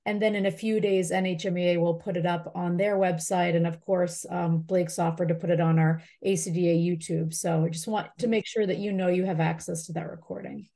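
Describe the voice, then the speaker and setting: A woman with a warm, dark tone and a fairly low pitch speaks as a session organizer. Her remarks are informal, measured and explanatory, with a relaxed sound. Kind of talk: informal meeting announcements and comments.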